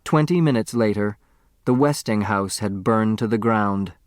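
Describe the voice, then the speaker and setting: A man's voice: lowish voice, a little slow, no echo, clean studio sound. A man narrating a story from a script, in the dramatic style of an audiobook.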